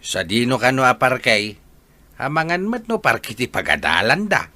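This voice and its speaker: Man acting a bright, animated old husband. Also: mid-range voice, measured pace, no echo, exaggerated voice. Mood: playful. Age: older. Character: teasing old husband, playful and jokey. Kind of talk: radio drama acting. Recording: noisy background hiss.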